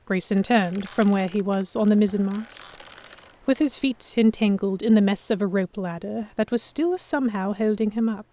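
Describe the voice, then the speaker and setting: A woman playing a proud, sharp-tongued historical heroine who narrates a pirate romance in the first person, wry and expressive. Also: mid-range voice, normal speed, close to an everyday voice. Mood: reflective narration. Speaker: narrator and lead voice actor.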